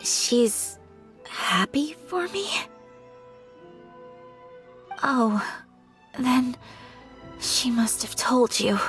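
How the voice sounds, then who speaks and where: An actress voicing a polite, earnest young noblewoman in a video game, speaking formally and gently. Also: fairly high pitch, expressive, very slow, faint music behind, heavily acted. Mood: shy, hesitant.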